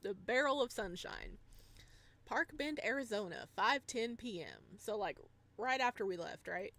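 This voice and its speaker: A woman with a medium-pitched voice hosts a casual game stream. She is bubbly and rambling in her delivery, moving between chat with viewers and reading story text aloud. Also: expressive, a little slow, fairly bright tone. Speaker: livestreamer and host.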